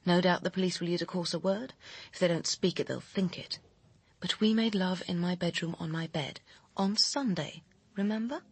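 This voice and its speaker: Radio drama role: controlling, persuasive woman with a secret, very husky low voice, dry close sound. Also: moderate pace, no echo. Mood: firm, insistent. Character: cool, commanding, manipulative woman with a secret, coaching her lover.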